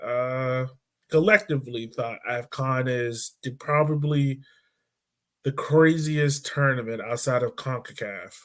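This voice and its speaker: A man hosting a podcast in relaxed, chatty sports talk, often laughing and dropping casual fillers. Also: mid-range voice. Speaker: podcast host.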